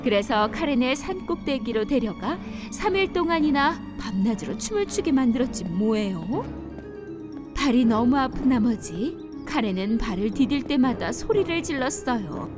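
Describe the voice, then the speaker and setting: A woman's voice: high voice, big pitch swings, moderate pace. A woman narrating a dubbed children's fairy-tale cartoon in a storytelling manner, with music behind her.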